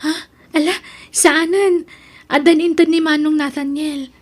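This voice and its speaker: Grateful young student mother, high voice, gentle and deferential, Ilocano accent, radio drama. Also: steady tone, a little slow. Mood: grateful, respectful.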